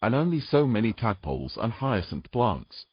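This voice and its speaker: A man playing a weary, gruff knight with dry, teasing humour in a romantic fantasy audio drama. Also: lowish voice, sing-song, moderate pace, no echo, muffled recording. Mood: curious, gentle.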